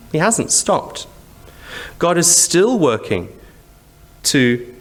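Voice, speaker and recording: A man with a Zimbabwean accent preaching a sermon in a conversational, explanatory way, with rhetorical questions and repeated phrases. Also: fairly high pitch. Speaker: church preacher.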